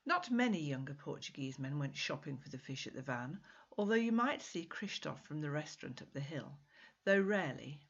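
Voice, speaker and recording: A woman author reading her own short story in an expressive, measured, dramatic literary narration. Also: low-pitched, breathy edge, lo-fi sound.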